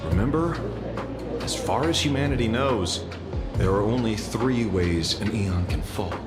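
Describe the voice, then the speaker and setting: A man's voice: on the low side, animated, a little slow. An actor playing a self-assured male detective who talks in a dry, matter-of-fact way, in a game scene. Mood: confident. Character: self-assured adult male detective, tough-guy type. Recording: game audio with loud music, echoey.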